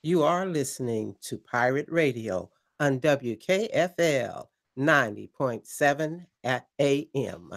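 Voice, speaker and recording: A woman's voice: very deep voice, expressive, slow, gravelly, few pauses, full character voice. An actress playing a theatrical female host of a late-night pirate radio show in an audio drama.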